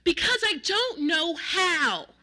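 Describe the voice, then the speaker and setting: A woman's voice: a little high, sing-song, moderate pace, sharp tone, full character voice. A woman voicing a demanding, exasperated old male neighbor, blunt and emphatic, in a spoken anecdote. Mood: exasperated shouting. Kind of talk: acted quotes in a spoken anecdote.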